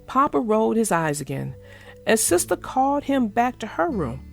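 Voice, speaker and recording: Woman narrator, children's read-aloud, fairly high pitch, animated intonation, creaky edges, guitar music behind. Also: normal speed. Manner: expressive storytelling. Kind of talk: scripted storybook read-aloud.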